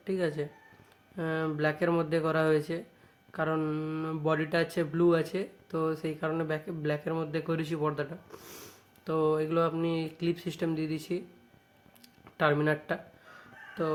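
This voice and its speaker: West Bengali man, higher-pitched and very even. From West Bengal, India. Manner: repeatedly adds checking tag phrases. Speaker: speaker repair shop owner and vlogger. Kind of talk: casual product showcase vlog.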